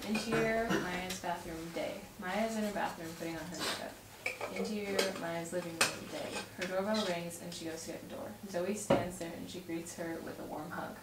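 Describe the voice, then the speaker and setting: A woman's voice: fairly low pitch, fairly even intonation, moderate pace. A young woman reading a script aloud and chatting casually at a table read. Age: young adult. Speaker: cast member reading stage directions at a table read. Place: home dining room. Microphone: far camera. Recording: distant mic, echoey room, loud noise.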